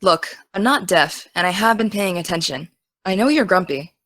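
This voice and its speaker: A young American woman playing an excitable, teasing teenage aspiring journalist in naturalistic, casual audio drama dialogue. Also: lowish voice, normal speed, dry recording. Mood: earnest, sincere.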